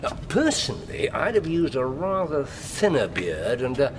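An actor playing a shrewd, sardonic English detective in a radio drama, with precise, theatrical phrasing. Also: male voice, sing-song, normal speed, natural voice. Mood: gloating politely. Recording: clear low rumbling background noise.

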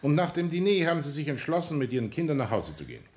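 A man's voice: a little high, sing-song, rapid-fire, a little mellow. An actor playing a probing, sceptical police inspector in a radio play, terse and commanding as he interrogates. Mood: suspicious, pressing.

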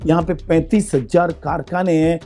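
A man's voice: fairly high pitch, fairly even intonation, rapid-fire, warm tone, soft background music. A middle-aged man from India, president of a market association, explaining confidently in an interview inside a showroom. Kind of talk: interview answers.

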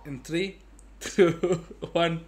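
A man's voice: on the high side, steady tone, extremely slow, heavy background noise. A man giving casual live gameplay commentary, playfully muttering and breaking into sudden exclamations. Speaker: game streamer. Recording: game music faintly behind.

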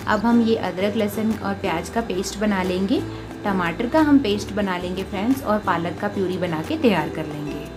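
Indian female home-cook host, mid-pitched instructional recipe narration, prominent music bed underneath. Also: moderate pace. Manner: instructional, addresses listeners as friends often. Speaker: home cooking video host. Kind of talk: recipe voice-over, step-by-step instruction. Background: prominent background music.

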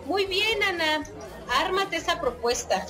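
An actress playing a bossy but friendly Mexican women's group organizer in a radio soap, colloquial and directive. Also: female voice, very high voice, animated, measured pace, a crowd in the background. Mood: brisk, organizing. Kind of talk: radio soap opera acting.

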